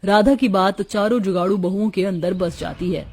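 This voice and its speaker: A woman's voice: medium pitch, steady tone, moderate pace, darkish tone. A woman narrator reading a scripted cartoon story.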